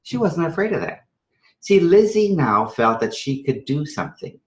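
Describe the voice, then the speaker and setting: A man's voice: on the high side, big pitch swings, moderate pace. A man from Trinidad and Venezuela teaching a math strategy session to teachers, conversational and emphatic, with rhetorical questions and frequent check-in tags. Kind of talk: live instructional presentation to teachers. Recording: echoey room sound.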